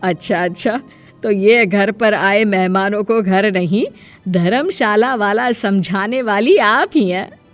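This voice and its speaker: A woman's voice: medium pitch, slow, no echo, close to an everyday voice. A woman playing a proud, territorial middle-aged mother-in-law in a Hindi cartoon family drama, scolding with emphatic delivery. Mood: taunting. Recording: music behind the voice.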